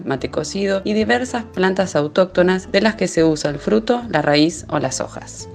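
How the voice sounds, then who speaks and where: A woman reading scripted instructional narration as a segment presenter. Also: on the low side. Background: faint music.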